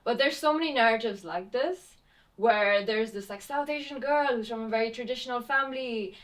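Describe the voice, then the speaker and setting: A young woman from Pakistan, a playwright, answering interview questions in a thoughtful, halting way with many fillers. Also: on the high side, fairly bright tone. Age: young adult. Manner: hesitant, many fillers and restarts.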